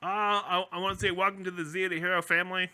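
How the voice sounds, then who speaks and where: Male podcast co-host, strikingly bright, forceful tone, playful and casual, repeats himself. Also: sits high, flat delivery, fast talker, clean tone. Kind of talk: casual fan chat.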